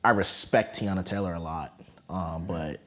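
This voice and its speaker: A man's voice: sits low, normal speed, fairly bright tone. A young man, a content creator, in casual sit-down chat with playful, laughing banter.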